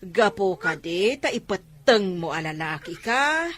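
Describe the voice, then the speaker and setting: An actress playing a patient, soothing mother in a radio drama, gently coaxing with a Filipino Ilocano accent. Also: lowish voice, animated, measured pace. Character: patient, soothing mother counseling her grown child.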